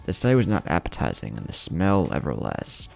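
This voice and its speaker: Man to narrate fan fiction: deep, animated delivery over light background music. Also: normal speed, creaky. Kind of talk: scripted story narration.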